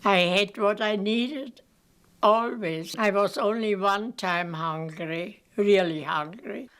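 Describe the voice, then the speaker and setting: A woman's voice: medium pitch, slow pace, a bit bright, smooth. An older woman from Germany, telling her life story plainly and matter-of-factly. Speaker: interviewee. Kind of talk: personal life story told in an interview.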